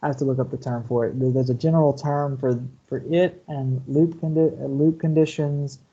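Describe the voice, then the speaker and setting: A man's voice: mid-range voice, fairly even intonation, moderate pace, mellow, dull audio. A male university lecturer teaching programming online over shared slides, explaining step by step and often checking in with "okay?" and "right?". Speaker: university computer science lecturer.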